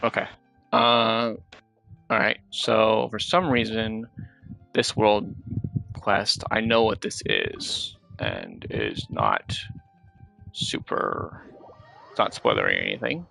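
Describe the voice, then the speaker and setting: A man's voice: lowish voice, animated, takes it slowly, creaky, long pauses, bright, forceful tone, soft background music. A man streaming a game and chatting casually as he plays. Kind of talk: casual gameplay chat.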